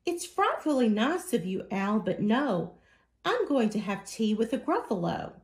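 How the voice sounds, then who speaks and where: A woman's voice: a little high, big pitch swings, measured pace. Storybook mouse played by a woman: small, clever, politely boastful, swooping intonation, roomy sound. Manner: polite, theatrical storybook delivery.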